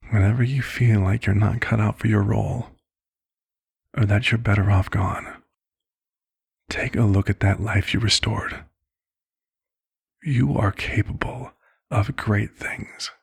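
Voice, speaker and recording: A man acts out a compassionate vampire physician in an intimate ASMR roleplay. The voice sits low, with a gravelly creak and a husky edge. The delivery is formal, measured and hushed, like a weary father figure gently persuading. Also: exaggerated voice. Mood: warm, encouraging.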